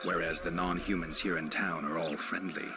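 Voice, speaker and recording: A man playing a stoic, dry-witted monster hunter, terse and deadpan in game dialogue. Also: low-pitched, moderate pace, noisy background. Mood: calm, dry.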